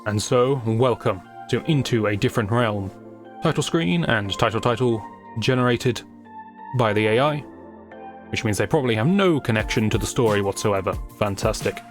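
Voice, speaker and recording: A man narrating a scripted story in a wry, theatrical manner with deliberate phrasing. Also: lowish voice. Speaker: creator and narrator. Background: music.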